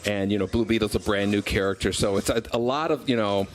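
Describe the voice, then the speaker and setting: A man's voice: fairly low pitch, quick pace. A man being interviewed as a game developer, talking informally in promotional style and often repeating words as he goes. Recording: loud music behind the voice. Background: loud music.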